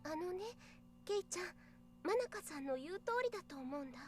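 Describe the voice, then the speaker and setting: Gentle childhood-friend girl for a visual novel: extremely high voice, quick, anxious, pleading delivery. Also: expressive, soft background music. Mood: earnest, hesitant. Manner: earnest, pleading, hesitant. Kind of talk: Japanese visual novel voice acting.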